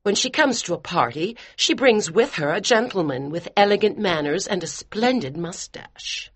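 A man's voice: high voice, expressive, moderate pace, a bit bright, breathy edge, voice pushed up, heavily acted. A man reading an audiobook and voicing a prim, snobbish older aunt who speaks with scorn. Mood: disapproving.

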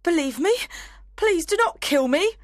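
A man's voice: very high-pitched, animated, unhurried, no room sound, clean studio sound, voice pushed up. A man reading a story aloud, voicing a frightened young woman who pleads desperately for her life. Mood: pleading.

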